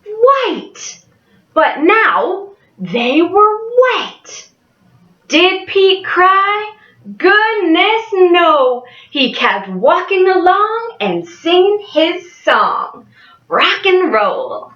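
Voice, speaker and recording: A young adult woman reading a picture book aloud to children in an expressive way. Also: very high-pitched, drawn-out words, echoey room, muffled recording. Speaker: early childhood education student reading to children. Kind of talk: picture-book read-aloud with sing-along.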